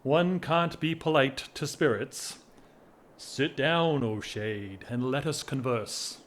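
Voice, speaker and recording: A man acting a whimsical, restless gentleman in an audio drama, with theatrical, wordy, teasing formality. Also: mid-range voice, slow pace, lightly acted. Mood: playful, whimsical. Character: whimsical, restless English gentleman, an aristocrat in love.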